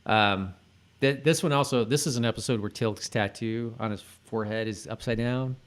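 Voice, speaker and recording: A man's voice: on the low side, normal speed. A man co-hosting a podcast, chatting casually in a loose, somewhat hesitant conversational way. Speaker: podcast co-host. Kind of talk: casual chat about a TV episode.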